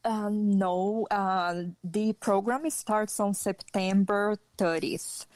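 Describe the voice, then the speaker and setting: Slow, measured Brazilian woman. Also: medium pitch, fairly even intonation, darkish tone, no room sound. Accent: Brazilian Portuguese. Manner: measured, hesitant with fillers, occasional light laugh. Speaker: interview guest. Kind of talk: podcast interview.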